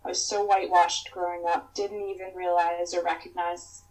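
A woman's voice: fairly low pitch, level tone, normal speed, darkish tone, poor audio. A Canadian woman talking as a podcast guest on a remote call, reflective and hesitant, with many fillers. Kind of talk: casual remote podcast chat.

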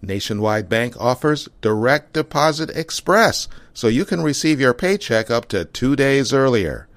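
A man's voice: medium pitch, animated, measured pace, fairly bright tone, no room sound. A man delivering a scripted advertising read as a programme announcer. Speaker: podcast host and ad reader. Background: faint music.

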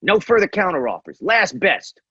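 A scheming young royal heir , as a man puts him on, snooty and puffed-up, speaking in a put-on Polish accent. His pitch sits high and leaps around theatrically. The voice is slightly husky, and the recording sounds dull and muffled. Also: very animated, moderate pace, bright, forceful tone, dry recording, full character voice. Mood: smug.

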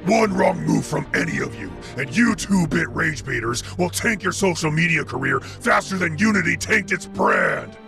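A man's voice: on the high side, lively intonation, moderate pace, gravelly, few pauses, music in the background. A man voicing a mocking, scheming villain boss in a comedic cartoon, with a taunting, theatrical manner. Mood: smug, threatening.

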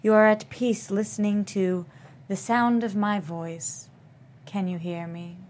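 A woman plays a female hypnotist in a thriller reading. She is soothing yet commanding, guiding her subject in a mid-pitched voice. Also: steady tone, a little slow, a little mellow, lightly acted.

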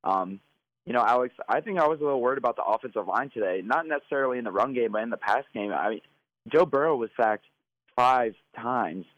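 Hoarse man calling in by phone. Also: mid-range voice, lively intonation, fast, a bit bright, dry recording. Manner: casual, many fillers. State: hoarse from yelling. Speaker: podcast co-host. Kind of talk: sports podcast recap over the phone. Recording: phone line.

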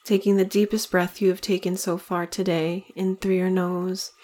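A woman leading a guided meditation in slow, deliberate phrases with long pauses between them. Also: on the low side, flat delivery, mellow.

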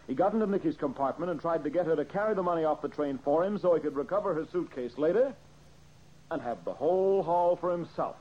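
A man's voice: a little high, expressive, fast, slightly rough. An actor playing a confident, methodical male detective in an old-time radio mystery, explaining with emphasis. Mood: assured, accusing. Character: brisk, confident male detective laying out a case. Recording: muffled old radio recording.

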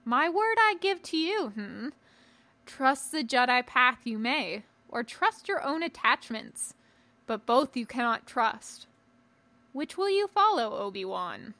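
A woman's voice: a little high, sing-song, takes it slowly, bright, forceful tone, exaggerated voice. A woman voicing a small, stern old male alien master from an audiobook, commanding, with inverted phrasing and questioning hums. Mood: unrelenting.

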